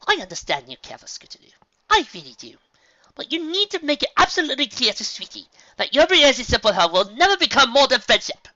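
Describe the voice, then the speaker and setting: A woman putting on a posh, dramatic unicorn mare from a storybook, raised well above a plain speaking voice and swooping through wide, bright lines. She sounds refined and fussy, carefully polished in every phrase. The recording is dry and a little dull. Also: sits high, big pitch swings, fast talker, no room sound, voice pushed up, heavily acted. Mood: stern. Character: elegant, dramatic grown unicorn mare seamstress.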